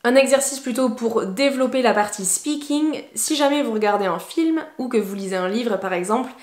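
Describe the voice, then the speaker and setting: A woman with a fairly high voice shares study tips in a relaxed, encouraging way, often pausing on little fillers. She sounds like a teacher talking to her viewers. The room around her is echoey. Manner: chatty, encouraging, many fillers. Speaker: English teacher. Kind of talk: casual tips to camera.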